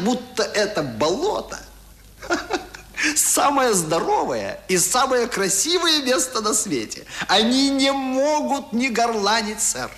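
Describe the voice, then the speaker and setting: An actor playing a cheerful, loyal young manservant in a radio drama, lively and deferential. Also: male voice, very high voice, exaggerated voice. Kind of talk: 1970s Russian radio drama acting.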